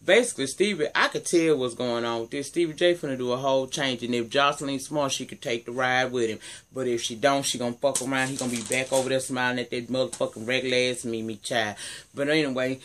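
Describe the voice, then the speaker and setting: Sassy deep-voiced man recapping a show. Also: quick pace, a bit bright. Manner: sassy, profane, talks straight to viewers with "girl" and "child". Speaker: TV recap vlogger. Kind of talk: casual episode recap and commentary.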